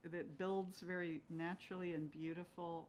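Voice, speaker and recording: A woman's voice: lowish voice, little pitch movement, normal speed, darkish tone, poor audio. A woman speaking as an actress guest in a casual interview, reflecting analytically as she talks. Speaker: guest, stage and screen actress. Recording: clear background noise.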